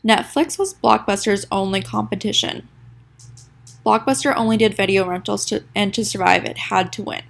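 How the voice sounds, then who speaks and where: A young woman presents a school project in a congested, nasal voice, with a gravelly fry running under her words. She reads from a prepared script, tripping over a word here and there and starting again. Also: medium pitch, moderate pace. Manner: reads a prepared script with small stumbles and restarts. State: sick, congested. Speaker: student presenter. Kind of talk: reading a class presentation.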